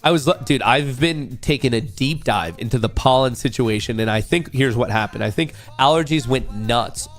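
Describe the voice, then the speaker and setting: A man's voice: medium pitch, normal speed, hardly any pauses, faint music behind. A man hosting a casual livestream, slightly nasal as he gets over a cold, joking loosely and talking straight to his chat. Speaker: livestream host.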